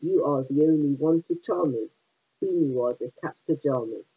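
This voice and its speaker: A man reading aloud the lines of a flattering magic mirror in rhyme, on a tinny phone-like line. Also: on the high side, moderate pace, breathy edge. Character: magic mirror, flattering then blunt, a thing.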